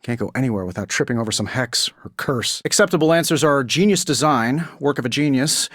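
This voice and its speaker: An actor playing a cocky genius billionaire superhero in a video game, with an American accent and a glib, sarcastic, wry delivery. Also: male voice, medium pitch, dry recording, studio quality, close to an everyday voice.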